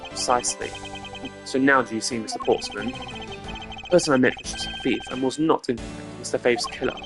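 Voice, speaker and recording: A man plays a composed male prosecutor from a mystery game, formal and deliberate, setting out his reasoning with measured care. Music plays clearly behind him. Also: mid-range voice, close to an everyday voice. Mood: assured, pointed.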